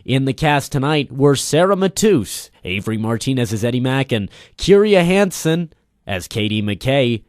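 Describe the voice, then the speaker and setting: A man's voice: medium pitch, sing-song, normal speed, a little mellow, dry recording, clean studio sound. A man giving an earnest, formal radio show sign-off as its writer and director. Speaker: writer, director and lead actor, signing off.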